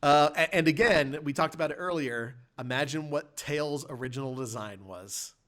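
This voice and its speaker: A man's voice: a little high, normal speed, fairly bright tone. A man hosting a podcast in a casual film review conversation, thinking aloud with restarts as he goes. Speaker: podcast host.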